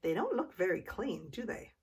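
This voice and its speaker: A woman's voice: medium pitch, big pitch swings, moderate pace, a little hiss or hum. A woman reading a children's story aloud expressively, as if to young listeners. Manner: asks the young listeners questions. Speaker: storytime reader.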